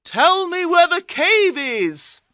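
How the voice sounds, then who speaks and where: A woman plays a greedy, demanding storybook brother. The voice leaps high and loud, insisting and exclaiming with big swings, slow and deliberate. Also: big pitch swings, dry recording, studio quality, full character voice. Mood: angry, demanding. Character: Kasim, greedy demanding brother, grown man. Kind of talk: storybook character voice.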